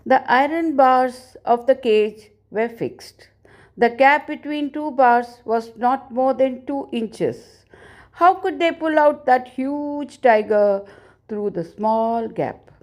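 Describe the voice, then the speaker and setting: A woman narrating a scripted folk tale in a measured, deliberate storytelling manner. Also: sits high, a little mellow.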